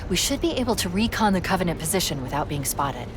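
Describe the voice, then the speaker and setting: A woman's voice: on the low side, big pitch swings, fast, noisy background. A woman playing a capable military AI companion in a video game cutscene, speaking precisely and analytically. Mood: focused.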